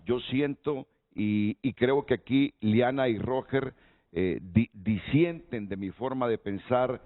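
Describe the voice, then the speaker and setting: A man's voice: mid-range voice, slow pace. A man hosting a TV panel, posing questions and inviting guests' opinions with hesitant restarts. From Honduras. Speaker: TV talk show host. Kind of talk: moderating a panel discussion. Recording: tinny lo-fi broadcast audio.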